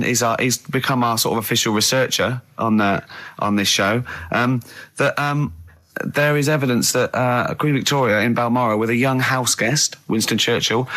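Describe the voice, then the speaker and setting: Bright, forceful Englishman on radio. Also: medium pitch. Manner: excitable, teasing, argumentative. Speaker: radio show host. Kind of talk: casual bantering radio chat.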